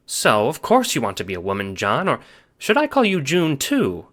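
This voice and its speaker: A man voicing a stern yet warm old grandmother who teases fondly in an audio drama. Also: medium pitch, huge pitch swings, normal speed, voice pushed up. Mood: reassuring. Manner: fussy, affectionate, hooting pet-name talk. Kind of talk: audio drama character acting.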